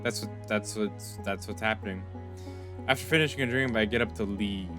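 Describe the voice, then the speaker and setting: A young man with a fairly low voice gives loose, chatty commentary while playing through a story game. He reads the characters' lines aloud and thinks out loud with plenty of fillers. Soft music runs faintly in the background. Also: normal speed. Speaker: gaming YouTuber. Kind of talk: casual let's-play commentary, reading dialogue aloud.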